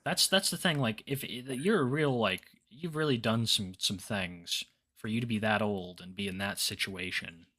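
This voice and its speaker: Blunt podcast dude, swears a lot, dark jokes, bright edgy voice. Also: male voice, on the low side, moderate pace, hardly any pauses. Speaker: podcast co-host. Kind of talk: casual chat.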